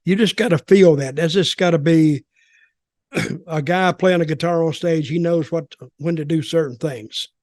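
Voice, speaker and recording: A man's voice: on the high side, moderate pace, a little mellow, dry recording, studio quality. An older man in casual, rambling, opinionated talk about wrestling as a podcast commentator.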